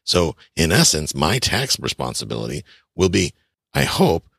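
Very deep-voiced man in his fifties. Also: huge pitch swings, moderate pace, creaky, fairly bright tone, husky, no echo, clean studio sound. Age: 50s. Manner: blunt, chatty, addresses listeners as guys. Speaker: real estate investing podcast host. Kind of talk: casual advice monologue.

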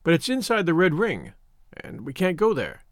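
A man's voice: fairly high pitch, huge pitch swings, normal speed, no room sound, studio quality. A man voicing a resourceful, cautious schoolboy scout, matter-of-fact, in a storybook reading. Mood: helpful.

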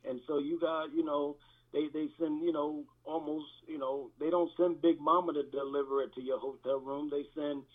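A man speaking as a guest pastor, giving a candid personal testimony in a halting, reflective manner with repeated words, through thin band-limited audio. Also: on the high side, fairly even intonation, phone-quality sound.

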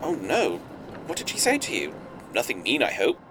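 Man as spy boss, formal, courteous, guarded, veiled menace, audio drama, very lively intonation. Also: mid-range voice, normal speed, background noise. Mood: smooth, guarded.

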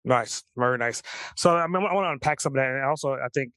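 A man hosting a podcast, introducing his guest in a loose, animated way with many "like" fillers. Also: mid-range voice, quick pace, no room sound, studio quality. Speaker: podcast host.

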